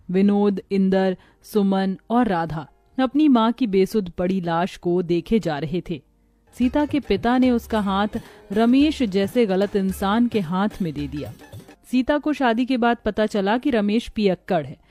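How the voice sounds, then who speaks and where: A woman's voice: medium pitch, warm tone. A woman narrator reading a scripted moral story in a solemn storytelling manner.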